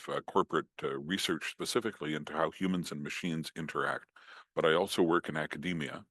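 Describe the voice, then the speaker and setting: A man with a Scottish accent giving a relaxed, chatty online talk over a computer microphone, joking at his own expense. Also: a bass voice, no echo, studio quality. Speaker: guest speaker. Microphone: computer mic on a video call.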